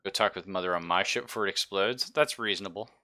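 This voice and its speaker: Male game master, low-pitched with a bright, forceful edge, informal and sweary storytelling. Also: fast. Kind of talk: casual narration of a tabletop roleplaying game. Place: online game session, streamed.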